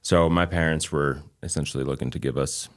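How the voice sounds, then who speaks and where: A man in his thirties, a native Albanian speaker from Kosovo with a light New England accent, talking as a guest in a measured, reflective way with frequent pauses. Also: a bass voice, steady tone. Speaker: guest chiropractor. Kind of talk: casual interview chat.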